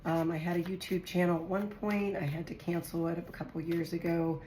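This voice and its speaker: Hoarse low-voiced woman reading tarot. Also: steady tone, fairly quick, warm tone. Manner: chatty, thinks aloud while drawing cards. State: hoarse, raspy from a virus. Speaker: tarot reader. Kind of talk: casual tarot reading to viewers. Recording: echoey room with faint noise.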